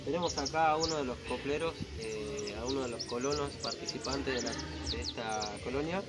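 A man's voice: mid-range voice, brisk. A man interviewing a folk singer, asking his questions hesitantly with many fillers. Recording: loud background noise outdoors.

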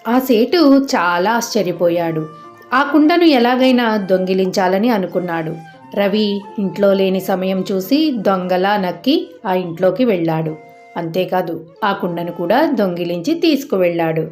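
A woman's voice: mid-range voice, animated, measured pace, a little mellow. A woman narrating a scripted moral story for children as a storyteller. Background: faint music.

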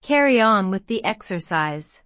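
A woman modelling English phrases for learners, repeating each one slowly and then word by word. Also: medium pitch, lively intonation, a bit bright, no room sound. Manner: very slow, carefully enunciated. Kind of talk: slow drill reading, word by word. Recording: dull, slightly lo-fi sound.

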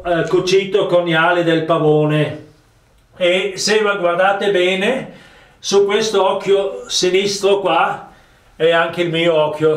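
A man in his fifties with a South Tyrolean accent, presenting products in a halting, plain-spoken way, recorded from a distance in a roomy space. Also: sits high. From South Tyrol, Italy. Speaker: ski maker presenting own products. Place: small wood-panelled rooms of an old farmhouse.

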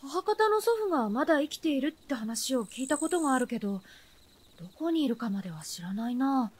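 A woman's voice: high voice, big pitch swings, fairly quick, darkish tone, husky, full character voice. A woman playing a feisty, carefree young child with blunt, boyish speech in a Japanese radio drama. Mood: frank, reflective.

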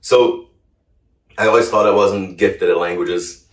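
Interview guest: man, thirties, from Brazil, native Portuguese speaker, deep-pitched, casual storyteller, echoey room recording. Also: measured pace. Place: indoor living room, on a sofa. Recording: roomy, distant microphone.

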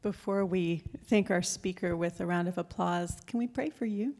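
A woman's voice: lowish voice, normal speed, darkish tone, slight background noise. A middle-aged woman moderating a public event and leading it, speaking into a handheld microphone on an auditorium stage with long reverb.

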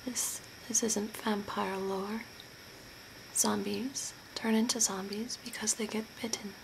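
A woman whispering softly and breathily in a soothing, deliberate ASMR role play, close to the mic. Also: mid-range voice, little pitch movement. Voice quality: breathy, mostly unvoiced whisper. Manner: soft, deliberate, soothing with short phrases. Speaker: ASMR performer playing a zombie makeup artist. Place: small curtained room at home. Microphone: close to the mic at a desk. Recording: clear background noise, room ambience.

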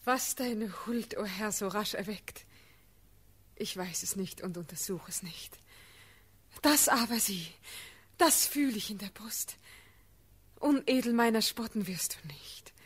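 An actress playing an ardent young princess in a classic verse radio drama, breathy and fervent. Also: female voice, mid-range voice, takes it slowly, pauses often. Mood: hushed, grateful. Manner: fervent, urgent declamation.

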